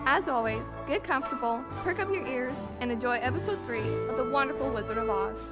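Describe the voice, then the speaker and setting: High-voiced young female student host. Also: brisk. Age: child or teen student. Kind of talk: scripted show introduction. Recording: loud music under the voice.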